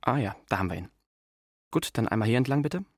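A man's voice: medium pitch, lively intonation, quick pace, husky, no room sound, studio quality. An actor playing a polite, matter-of-fact male hospital attendant in a radio drama, who also narrates. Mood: courteous, routine. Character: businesslike, courteous hospital attendant, man.

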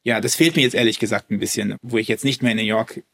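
A man's voice: lowish voice, fairly even intonation, quick pace, fairly bright tone. A man, a German native speaker and violinist, talking as a guest in a casual interview, hesitant with many restarts and fillers.

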